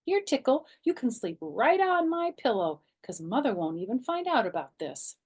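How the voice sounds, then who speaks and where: A woman voicing an excitable, anxious little schoolgirl in a children's storybook, with dramatic, emphatic, childlike phrasing. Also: on the high side, normal speed, husky, voice pushed up. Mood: excited, relieved. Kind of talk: animated children's storybook reading.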